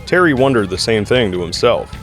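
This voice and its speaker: Male narrator, lowish pitch, dramatic scripted storytelling, clear music bed, audio drama. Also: big pitch swings, fairly quick.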